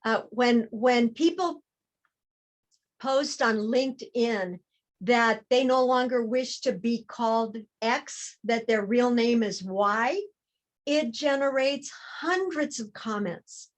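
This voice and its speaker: A woman speaking as a guest on a discussion panel, explaining her points in a measured, reflective way with emphasis on key words. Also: a little high.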